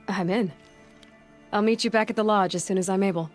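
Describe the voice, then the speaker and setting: A woman's voice: mid-range voice, fairly even intonation, a little slow, soft background music, natural voice. A woman playing a determined, principled young hunter in a video game cutscene, direct and firm. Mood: surprised. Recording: clear background noise, game ambience.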